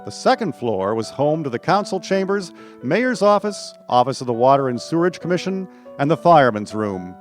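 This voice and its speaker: A man's voice: a little high, big pitch swings, normal speed. A man reading scripted narration for a radio drama. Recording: music under the voice. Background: instrumental music.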